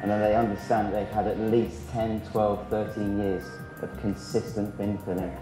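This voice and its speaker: A man's voice: lowish voice, flat delivery, moderate pace. A man speaking as a motivational presenter at a conference. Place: large conference hall. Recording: echoey hall, music over it.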